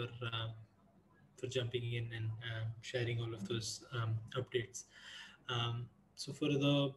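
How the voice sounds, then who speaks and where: A man's voice: medium pitch, a bit bright, poor audio. A man hosting an online community call in a casual, informal and somewhat hesitant, rambling way. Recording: online call with clear background noise.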